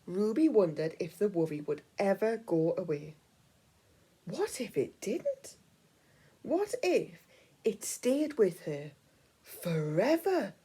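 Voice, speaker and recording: Female storyteller for young children, highly varied intonation, soft dark tone, dramatic delivery with hushed dips. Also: very animated, takes it slowly, long pauses. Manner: dramatic storytelling, dropping to hushed breathy tones. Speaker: teacher reading aloud. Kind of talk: expressive children's picture-book reading.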